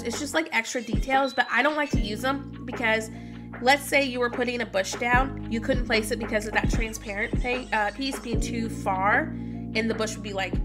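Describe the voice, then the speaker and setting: A woman in her thirties, stuffy from a cold, chatting casually with viewers while livestreaming a game and greeting them by name. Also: fairly high pitch. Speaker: streamer. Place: home room, indoors. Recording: music playing behind voice.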